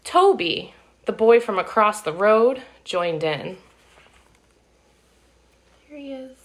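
Woman in her thirties, picture-book read-aloud, lively swooping intonation, unhurried pace, child-directed asides. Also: mid-range voice. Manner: animated, slow and deliberate, sing-song child-directed delivery. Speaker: children's storytime reader. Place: living room at home, indoors. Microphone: phone or webcam at arm's length.

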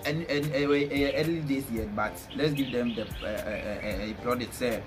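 A young Ghanaian man giving casual football punditry, speaking in a halting way and often repeating words. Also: medium pitch. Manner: halting, repetitive. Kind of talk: casual sports punditry, mixing English with a local language. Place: small bare indoor room, seated at a table. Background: music.

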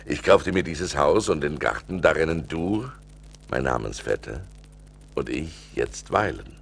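An actor playing a rich seafaring merchant who recounts his adventures as an expressive storyteller in a radio play. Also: rumbling low, measured pace, creaky, a little hiss or hum, exaggerated voice. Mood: contented. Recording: vinyl record transfer with music behind.

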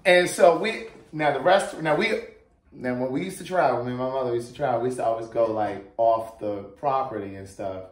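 A man's voice: mid-range voice, a little fast, echoey room. An American man in his forties telling a story casually and dramatically, exuberant and often laughing as he talks. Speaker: vlogger telling stories. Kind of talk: animated casual storytelling among family. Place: open dining room at home.

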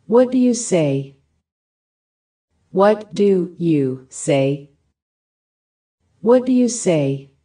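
A woman reading short English phrases for a language lesson, each one repeated with careful, even enunciation. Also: fairly low pitch, drawn-out words, pauses often. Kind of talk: slow repeated phrase drills.

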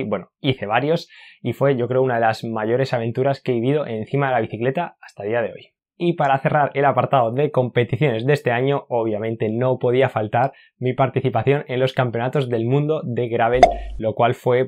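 A man's voice: medium pitch, a little mellow. A young man from Spain, chatting upbeat to camera in a year-in-review cycling vlog, recorded indoors on a lapel mic. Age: young adult. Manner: upbeat, chatty, gestures while talking. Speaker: cycling YouTuber. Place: indoors in front of a stone-wall backdrop. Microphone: clip-on lapel mic.

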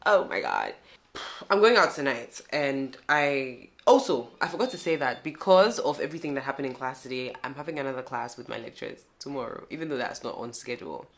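Very low-voiced woman vlogging. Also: big pitch swings, a little fast. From Ghana. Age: young adult. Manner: chatty, filler-heavy, addresses viewers as guys. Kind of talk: casual chat to camera. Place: at home indoors.

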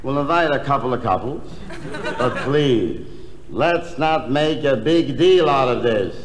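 Man acting gruff stingy husband, slow delivery, swooping pitch, 1960s comedy record, reverberant hall. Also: lively intonation, close to an everyday voice. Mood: grudging.

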